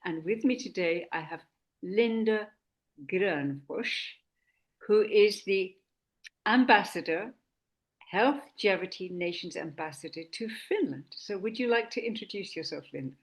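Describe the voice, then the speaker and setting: Slow, deliberate woman hosting a chat. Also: medium pitch. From Sweden. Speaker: host. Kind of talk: casual talk.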